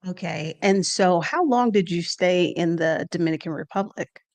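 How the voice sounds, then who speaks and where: A woman hosts an interview. Her voice sits a little low for a woman. She asks her questions in a calm, measured way. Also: gravelly. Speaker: host.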